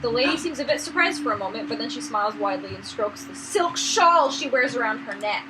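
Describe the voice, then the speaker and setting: A woman's voice: fairly high pitch, lively intonation, brisk, over music. A woman hosting a let's play, reading visual novel narration aloud. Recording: noisy room recording, no proper mic.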